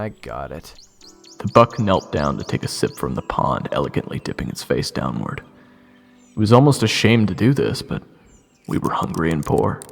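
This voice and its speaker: A man playing a cocky, grumbling young scout and would-be hero, sarcastic and excitable, in an audio drama. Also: very deep voice, creaky, full character voice. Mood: sulky, then solemn narrating. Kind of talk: audio roleplay drama acting with narration. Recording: long reverb, music under the voice.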